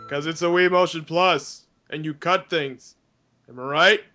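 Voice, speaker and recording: A man with a slightly high, sharp and bright voice joins a gameplay commentary. He talks informally and jokily, like chatting with a friend, while game music plays behind him. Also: steady tone, unhurried. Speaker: let's play co-commentator. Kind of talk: casual gameplay commentary, joking chat.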